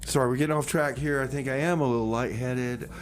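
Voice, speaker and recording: A man playing an easygoing, excitable radio host in a doomsday bunker, chatting casually in a rambling, folksy way. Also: medium pitch, quick pace, slight background noise, lightly acted. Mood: mildly exasperated. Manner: rambling, folksy, repeats himself. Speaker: community radio music show host. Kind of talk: casual chat with improvised comedy radio theater.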